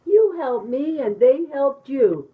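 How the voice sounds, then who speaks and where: A woman's voice: sits high, very animated, takes it slowly, phone-quality sound, pitched above the performer's own voice, exaggerated voice. A woman voicing a brash, self-important male dump truck in a storybook read-aloud. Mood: grateful. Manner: loud honking, blustering.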